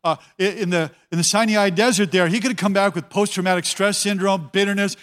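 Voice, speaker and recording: A man's voice: high voice, fairly even intonation, brisk, a bit bright. A man preaching a sermon as a pastor, talking conversationally, repeating his words and often telling listeners to watch.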